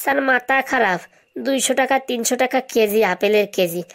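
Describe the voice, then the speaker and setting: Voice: medium pitch, a little fast, fairly bright tone, close to an everyday voice. A performer voicing a brazen, glib schoolboy in a comedy cartoon. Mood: cheeky, confident. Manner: glib, answers back with absurd confidence. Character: cheeky, brazen schoolboy with buck teeth.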